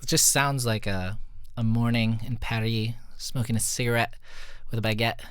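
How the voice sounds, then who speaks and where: A man's voice: medium pitch, fairly even intonation, moderate pace, a bit bright, clear, resonant tone, no room sound. A man talking casually and informally, as a musician walking listeners through a recording. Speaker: musician breaking down own recorded song. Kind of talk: casual behind-the-music walkthrough.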